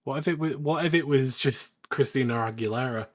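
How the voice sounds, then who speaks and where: A man's voice: mid-range voice, fairly quick. A man co-hosting a casual film review chat, opinionated and wry. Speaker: podcast co-host.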